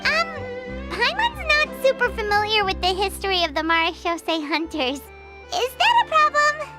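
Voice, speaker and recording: An extremely high, squeaky little fairy sidekick from a video game. The childlike voice swings up and down with excitable curiosity, over prominent background music. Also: female voice, normal speed. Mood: excited, curious. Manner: refers to self in third person.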